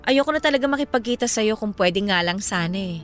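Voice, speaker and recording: A woman's voice: mid-range voice, lively intonation, a little fast, faint music behind, close to an everyday voice. Woman acting a guarded, firm Filipino ex-girlfriend. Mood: earnest, firm. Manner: firm, matter-of-fact. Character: guarded, earnest adult ex-girlfriend, weary but firm. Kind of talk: radio drama dialogue.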